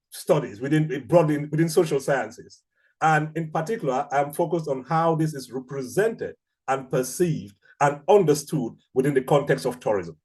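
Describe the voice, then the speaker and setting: A middle-aged man with a Cameroonian accent giving an academic seminar lecture in a measured, deliberate, explanatory manner. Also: on the high side, a little mellow. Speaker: academic researcher, seminar speaker.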